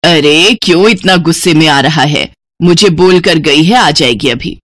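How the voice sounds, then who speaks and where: A woman's voice: low voice, unhurried, fairly bright tone, no echo. A woman playing a firm, sensible older mother-in-law in a cartoon story, speaking in an admonishing way. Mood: chiding. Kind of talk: Hindi cartoon story dialogue.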